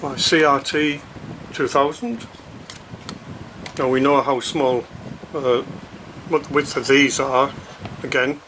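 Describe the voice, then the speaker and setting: A man giving a casual, unscripted unboxing commentary, thinking aloud in a halting, hesitant way as he reviews a product. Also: mid-range voice, bright, forceful tone, slight background noise, poor audio.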